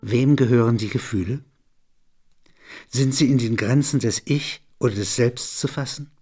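A man narrating literary and philosophical reflections in measured, deliberate phrases. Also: medium pitch, a little mellow.

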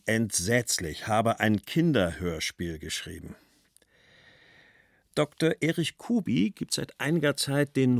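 Wry middle-aged writer reading letters; low, creaky, measured. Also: male voice, no room sound, studio quality. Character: forty-year-old male writer reading his wry, worried letters. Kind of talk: dramatic literary letter reading.

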